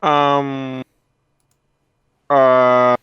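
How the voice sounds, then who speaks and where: A man's voice: mid-range voice, level tone, very slow, clear, resonant tone, no echo. A man co-commentating on a livestream, chatting casually over dull voice chat audio.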